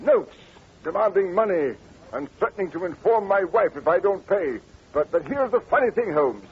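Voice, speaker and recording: Flustered English duke, tinny old radio. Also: male voice, high-pitched, animated, moderate pace, a little hiss or hum. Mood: sheepish worry. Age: middle-aged. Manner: stammering, hesitant, repeating himself. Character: flustered aristocratic duke of about fifty-five, anxious, secretive. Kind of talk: 1940s radio acting.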